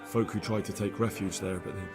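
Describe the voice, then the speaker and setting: An actor playing an earnest, plain-spoken young medieval commoner in a video game cutscene. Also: male voice, fairly low pitch, steady tone, fairly quick, loud music behind the voice, natural voice. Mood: grieving, shaken. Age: young adult.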